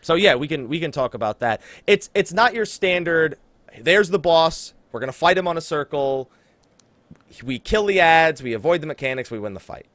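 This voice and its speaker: Animated man hosting a gaming podcast. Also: on the high side, normal speed, no echo. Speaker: podcast host. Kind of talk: casual gaming podcast discussion.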